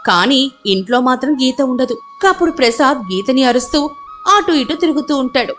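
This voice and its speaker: A woman's voice: high voice, lively intonation, moderate pace, a bit bright, faint music behind. A woman narrating a scripted dramatic story.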